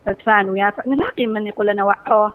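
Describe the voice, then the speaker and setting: A woman's voice: mid-range voice, very fast, narrow, tinny audio, a crowd in the background. A woman with an Adeni accent, calling in to a radio show and chatting with the hosts. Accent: Adeni Arabic. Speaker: radio caller. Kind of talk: call-in radio chat.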